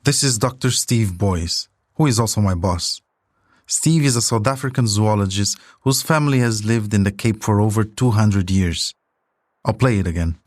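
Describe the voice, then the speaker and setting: A man narrating a scripted audio documentary in measured, dramatic phrases. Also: fairly low pitch, a little mellow, dry recording, clean studio sound.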